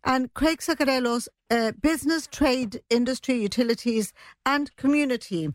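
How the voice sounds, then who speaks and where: A female news editor speaks in a slightly high voice, recorded close and dry. She reports conversationally, hesitating and thinking aloud between points. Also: normal speed, dry recording.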